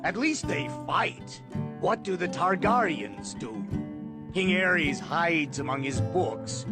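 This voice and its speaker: A man voicing blunt, scornful medieval hedge knights in a theatrical, deliberate audiobook storytelling style. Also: a little high, sing-song, loud music behind the voice. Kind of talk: audiobook storytelling with character voices.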